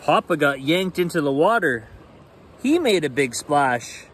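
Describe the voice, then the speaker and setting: A man in his forties reading a children's picture book aloud expressively, in simple, deliberate phrasing. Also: fairly high pitch, very animated, fairly bright tone. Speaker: storyteller reading children's bedtime stories. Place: outdoors on a rainy forested shore. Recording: outdoor ambient noise.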